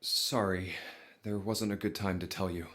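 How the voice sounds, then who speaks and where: A man's voice: low voice, steady tone, moderate pace, fairly bright tone. An actor playing a bewildered young everyman with a hesitant, muttering delivery in a visual novel game. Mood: apologetic.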